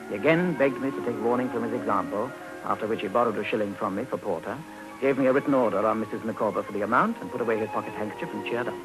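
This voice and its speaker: An actor playing a grown gentleman who recounts his boyhood in measured, wry literary narration for a 1950s radio drama. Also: fairly low pitch, natural voice, low-quality recording. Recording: old 1950s radio recording.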